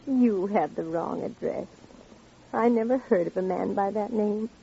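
A woman's voice: mid-range voice, a little slow, creaky, dark tone. An actress in an old radio drama playing a grieving, eerily polite woman with a dreamy, detached manner. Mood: vague. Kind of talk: old-time radio acting. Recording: muffled, noisy old radio recording.

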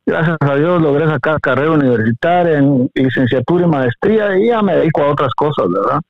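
A middle-aged Guatemalan man, a veteran broadcaster, reminiscing in an interview in a measured, courteous way. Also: a little high, dry recording. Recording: dry, narrow phone-like line.

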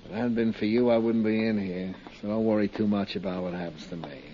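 A man's voice: fairly low pitch, fairly even intonation, quick pace, mellow, slight background noise, natural voice. Male actor as sullen male crook behind bars, sarcastic, slangy, 1950s radio drama, muffled recording. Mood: defiant, menacing. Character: surly, sarcastic jailed arson suspect, tough guy.

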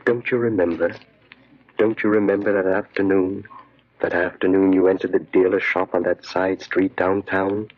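A sinister male tempter from an old radio horror play, very low and husky. He insinuates and urges, repeating himself like a knowing conscience gone bad. The sound is close, dry and dull, like a vintage broadcast. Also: little pitch movement, no echo. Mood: insinuating, urging. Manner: insistent, insinuating, repeats phrases slowly and knowingly. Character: sinister inner voice, insidious male tempter urging crime. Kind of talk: 1940s radio horror acting.

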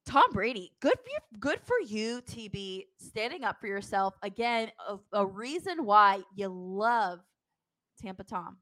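A woman co-hosting a sports podcast in casual post-game chat, speaking in an animated, emphatic way. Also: mid-range voice, a little slow, dry recording.

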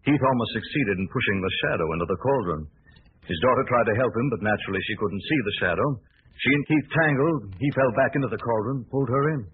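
An actor playing a calm, assured gentleman sleuth, measured and polished, in 1940s radio drama style. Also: medium pitch, lightly acted. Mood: calm, explanatory. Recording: noisy muffled vintage radio broadcast.

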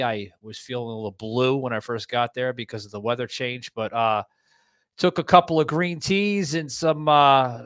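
A man's voice: mid-range voice, a bit bright, smooth, no echo. A man hosting a casual podcast, rambling and upbeat, with lots of fillers and repeated words. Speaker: podcast host.

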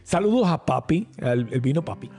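Lively mellow-voiced male host. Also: a little high, moderate pace, faint music behind. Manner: teasing, questioning. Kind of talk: casual chat.

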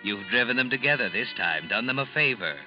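A man playing a sardonic, knowing narrator of a 1940s radio thriller, speaking deliberately and ominously. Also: mid-range voice, lively intonation, lightly acted. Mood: ominous, taunting. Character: sardonic, omniscient male narrator addressing the guilty character. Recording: muffled old radio recording, loud music behind.

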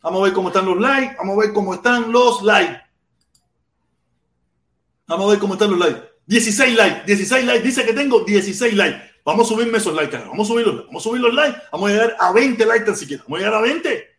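A man's voice: high voice, animated, fast, a bit bright. A Cuban man with a Cuban accent hosting an informal livestream, chatting with commenters in repetitive, slangy, swear-laden talk. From Cuba. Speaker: livestream host. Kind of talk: informal live political rant and chat with commenters. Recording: echoey untreated room.

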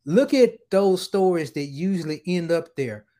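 An earnest man speaking as a guest on a casual podcast, slowing down to stress his points. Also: high voice, animated, warm tone.

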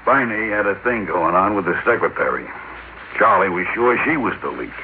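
A man's voice: low voice, expressive, moderate pace, background noise. An actor playing a reluctant, conscience-troubled businessman and older brother in an old-time radio drama. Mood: rueful narrating. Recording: muffled old radio broadcast, music behind.

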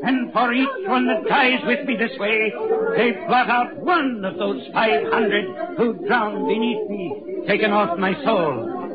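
A man's voice: sits high, slow, phone-quality sound, a loud crowd. Ghostly sea captain, haunted and merciless, intones his lines in repetitive, solemn phrases. It is 1940s radio horror acting, heard through a thin, band-limited old recording. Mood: anguished, fanatical.

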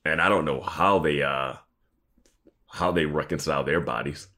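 A man's voice: very deep voice, moderate pace, bright, forceful tone. A man co-hosting a podcast, casually riffing on a TV episode with incredulous, slangy asides and mock quotes. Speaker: podcast co-host. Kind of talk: casual chat commenting on a TV episode.

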